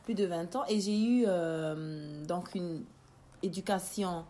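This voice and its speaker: A woman in her forties from Congo, a native Lingala speaker, tells her personal story haltingly as an interview guest. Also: lowish voice, dark tone. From Congo-Brazzaville, then Italy from age twelve. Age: 40s. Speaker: interview guest, tea entrepreneur.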